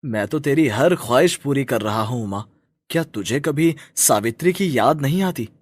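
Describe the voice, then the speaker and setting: Man as a animated, kind-hearted brotherly husband. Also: mid-range voice, moderate pace, no echo, clean studio sound, natural voice. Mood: caring. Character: kind-hearted working husband, caring brotherly family friend.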